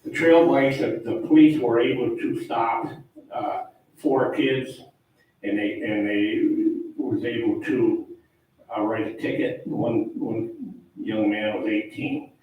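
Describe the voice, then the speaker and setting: Loud rambling man, town board official, echoey hall, distant mic. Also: mid-range voice, fairly even intonation, slow. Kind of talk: public meeting talk. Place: town hall meeting room.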